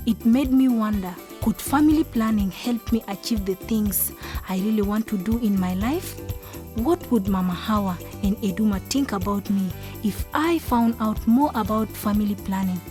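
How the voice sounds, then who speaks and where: A woman's voice: medium pitch, mellow, close to an everyday voice. A woman playing a thoughtful, unsure young wife in a radio drama, telling her story in a reflective, sincere way. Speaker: actor narrating and playing the main character. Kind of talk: first-person radio drama narration and dialogue.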